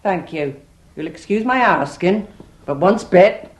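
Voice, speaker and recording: An actress playing a stern, pious, prudish old widow who keeps an inn, with a curt, disapproving manner. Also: female voice, fairly low pitch, expressive, measured pace. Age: older. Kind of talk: stage farce radio acting.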